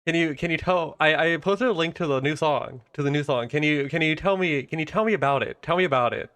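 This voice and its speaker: Higher-pitched man interviewing, halting and repetitive. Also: fairly bright tone. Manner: halting, repeats his questions. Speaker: interviewer, stream host. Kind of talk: casual live interview. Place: live online stream.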